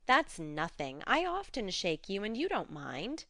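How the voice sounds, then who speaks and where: A woman voicing a spirited, frank young woman who talks briskly and coaxingly. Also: mid-range voice, sing-song, a bit bright, no echo, studio quality.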